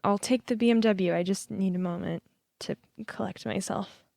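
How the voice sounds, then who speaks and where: A woman playing a hurt grown daughter of a politician in an audio play, with an emotional, halting, naturalistic delivery. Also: medium pitch, steady tone, creaky, darkish tone, dry recording, clean studio sound, lightly acted. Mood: quiet, tender.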